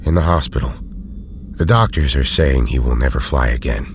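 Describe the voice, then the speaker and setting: An actor playing an erudite, weary but loyal scientist in an audio drama, speaking in formal, deliberate phrases. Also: male voice, rumbling low, background noise. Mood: grave.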